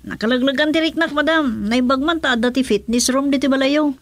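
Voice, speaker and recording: A woman's voice: sits high, brisk, dry recording. An actress playing a polite female household employee in a radio drama, with a Filipino accent. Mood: deferential.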